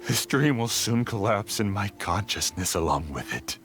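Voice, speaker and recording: A man's voice: animated, moderate pace, fairly bright tone. A man voicing a despairing adult scholar in a video game, speaking wearily and without hope. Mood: resigned. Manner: weary, hopeless and low-spirited. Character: despairing adult male scholar, broken and bitter. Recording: game audio with clear background music.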